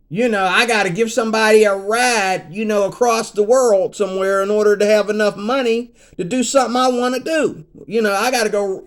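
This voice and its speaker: Man in his 50s, high-pitched voice, rambling vlog rant that turns emphatic, keeps asking "you know what I mean". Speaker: vlogger.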